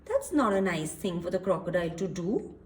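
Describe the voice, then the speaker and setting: A woman's voice: on the low side, sing-song, a little fast, darkish tone. A woman reading a story aloud to young children, expressively, explaining and asking questions with emphasis and repetition.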